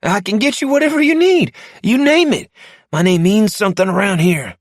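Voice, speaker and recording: A sleazy, frantic lowlife begs in a strained, high, loud voice, as a male audiobook narrator puts him on. The tone stays raspy and close, with no room around it. Every line comes out rushed and desperate. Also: lively intonation, no room sound. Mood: panicked pleading. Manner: frantic, pleading, later sneering. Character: panicked, sleazy lowlife man begging for his life. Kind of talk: audiobook character voice.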